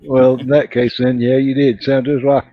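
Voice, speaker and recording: A man's voice: medium pitch, little pitch movement, normal speed, no echo. A man co-hosting a casual live chat, easygoing and hesitant with lots of filler. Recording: dry, dull lo-fi audio.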